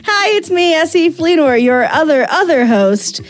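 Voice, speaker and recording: A woman's voice: extremely high pitch, big pitch swings, normal speed, faint music behind. A woman co-hosting a podcast in casual chat, bubbly, enthusiastic and jokey. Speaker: podcast co-host.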